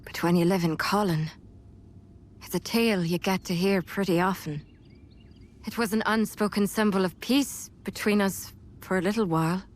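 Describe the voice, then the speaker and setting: A woman's voice: mid-range voice, steady tone, normal speed, natural voice. A woman playing a seasoned, easygoing female mercenary in a fantasy video game cutscene. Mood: grave, pensive. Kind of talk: English game cutscene voice acting. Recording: music behind the voice.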